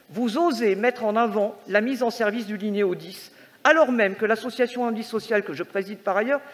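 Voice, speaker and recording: A woman's voice: on the high side, a bit bright. A woman reading a prepared, formal and critical political speech as a councillor at a council meeting. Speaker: metropolitan councillor. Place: large indoor council chamber. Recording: reverberant council hall sound.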